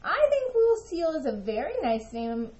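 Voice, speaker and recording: A woman reader plays a gentle storybook mom. She sounds mellow and calm, with a measured, melodic delivery. The recording is slightly dull. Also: high voice. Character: gentle, approving mother of two young girls.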